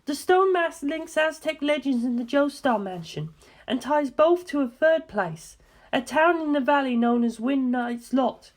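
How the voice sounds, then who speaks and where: A woman voicing an intent, earnest young woman who explains dark legends deliberately and emphatically in an audiobook. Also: sits high, animated. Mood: grave, emphatic.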